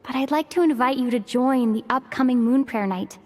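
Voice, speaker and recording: A woman playing a kind, calm young female traveler in a video game, earnest and composed. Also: sits high, fairly quick, close to an everyday voice. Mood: gentle, earnest. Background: music.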